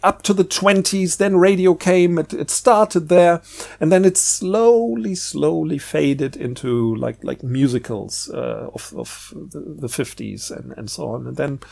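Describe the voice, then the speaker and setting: A man with a somewhat high-pitched voice talks casually, as if thinking aloud for a vlog. He presents in a loose, unscripted way, halting, rephrasing and letting sentences trail away. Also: creaky, darkish tone. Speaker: book reviewer and presenter. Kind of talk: casual unscripted vlog monologue over a book.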